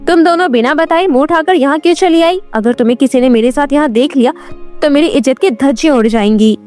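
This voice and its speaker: Storybook role: arrogant, snappish young woman, very high voice, played by a female narrator. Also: expressive, a little fast, faint music behind. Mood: angry. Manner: haughty, sharp. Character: arrogant, snappish young college woman ashamed of her family.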